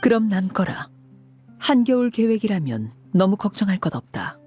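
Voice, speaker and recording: An actress playing a stern woman leader, speaking in a measured, formal, authoritative way in a dramatic game scene. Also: mid-range voice, very animated, dark tone, husky. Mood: stern, resolute. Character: stern, commanding woman leader of an orphanage, called Father. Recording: music under the voice.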